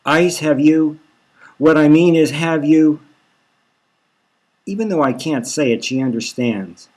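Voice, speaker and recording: A man reading his own dark satirical novel aloud as a dramatic first-person narrator, in a brooding, halting manner. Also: on the high side. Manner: brooding, halting delivery with rhetorical questions.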